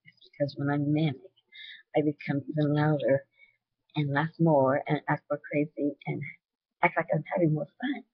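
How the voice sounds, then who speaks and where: Woman vlogger, loud voice, candid and earnest, husky tone, dry muffled webcam sound. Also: low voice, flat delivery, moderate pace, no room sound. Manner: candid, earnest, sharing personal experience. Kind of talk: personal video talk.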